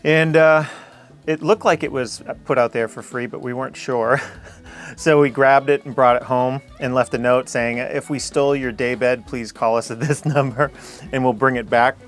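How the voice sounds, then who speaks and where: A man's voice: medium pitch, steady tone, moderate pace. A man giving a casual, conversational tour of his own home as its owner. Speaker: homeowner giving a house tour. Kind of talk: casual house tour narration.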